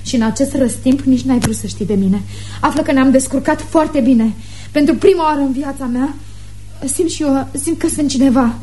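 An actress playing a blunt yet vulnerable young daughter, defiant and earnest, in a radio theatre drama. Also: female voice, high voice, warm tone, lightly acted. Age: young adult.

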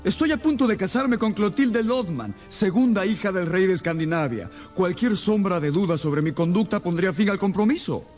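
Troubled monarch for radio drama: highish lively voice, imperious, formal, dramatic. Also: male voice, moderate pace, hardly any pauses, soft background music. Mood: distraught. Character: anguished, imperious male king fearing scandal.

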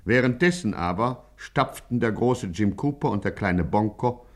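A man's voice: lowish voice, lively intonation, moderate pace. A man narrating a 1950s radio crime satire in a mock-solemn, literary, dramatic manner.

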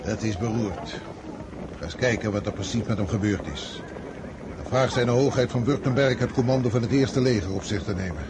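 An actor in a radio drama playing a patient, fatalistic old field marshal who talks in an unhurried, paternal way. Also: male voice, on the low side, natural voice. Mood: wise, decisive. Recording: very noisy outdoor battle radio drama.

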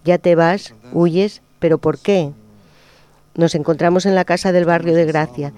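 A man on a radio program, reading a personal letter aloud in a slow, intimate, reflective way. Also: fairly high pitch, fairly even intonation, smooth. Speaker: radio presenter. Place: radio studio. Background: soft guitar music.

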